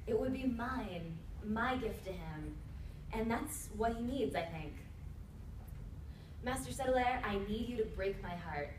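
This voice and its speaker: A woman plays an earnest, stubborn young servant in a radio play. Her voice is fairly high, heard a little away from the mic in an echoey room with faint noise. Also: lively intonation, unhurried, far from the mic. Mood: earnest pleading. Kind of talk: staged radio theatre dialogue. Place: indoor convention performance before microphones.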